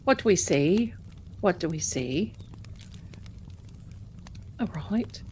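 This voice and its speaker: A woman's voice: lowish voice, lively intonation, slow, warm tone, slight background noise. Chatty woman live-streaming. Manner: chatty and rambling, calls viewers "my darlings". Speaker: tarot reader hosting a live stream. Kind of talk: casual live-stream chat.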